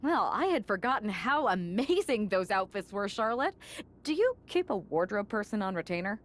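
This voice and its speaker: A woman's voice: mid-range voice, sing-song, moderate pace, natural voice. A woman playing an admiring, chatty wrestler among her allies in a video game cutscene.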